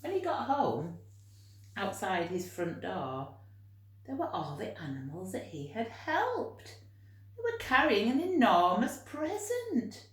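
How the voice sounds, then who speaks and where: Slow, expressive woman teacher in a roomy space. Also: medium pitch. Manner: expressive, encouraging, addressing young children directly. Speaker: teacher reading stories to children. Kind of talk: storytime read-aloud and teaching for young children. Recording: roomy, echoing home recording.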